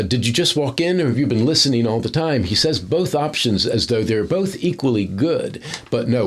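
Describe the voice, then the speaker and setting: A man giving analytical commentary on film acting in a conversational, explanatory way. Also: medium pitch.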